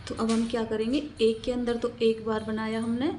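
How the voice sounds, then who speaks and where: A woman's voice: fairly high pitch, level tone, moderate pace, dark tone, slight background noise. A woman narrating a step-by-step craft tutorial, explaining in a repetitive, instructional way and counting aloud.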